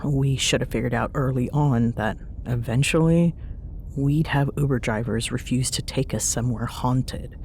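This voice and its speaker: A woman's voice: normal speed, darkish tone. A woman playing a teasing, bossy but fond young ghost hunter in an intimate ASMR roleplay, bantering sarcastically in a casual, murmuring way. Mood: wry, planning. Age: young adult. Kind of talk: ASMR audio roleplay, intimate one-sided chat. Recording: clear background noise with low rumble.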